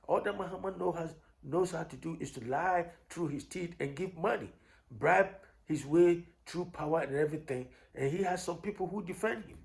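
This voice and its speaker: A man's voice: on the high side, creaky. A man with a Ghanaian accent giving an unscripted, combative opinion rant, repeating himself and often restarting his phrases. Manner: combative, repetitive, halting with restarts. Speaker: online commentator.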